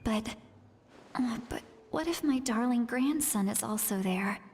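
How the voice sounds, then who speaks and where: A woman's voice: a little high, measured pace, a little mellow, breathy edge. A woman voicing a proud, irritable shaman grandmother with a youthful voice in an anime video game, huffy and muttering to herself. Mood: worried, flustered.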